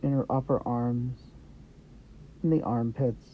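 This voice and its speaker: A man guiding a meditation with gentle, unhurried instructions in short phrases with pauses between them. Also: medium pitch, steady tone. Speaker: meditation guide. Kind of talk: guided meditation, Yoga Nidra. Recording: dull lo-fi audio with background noise.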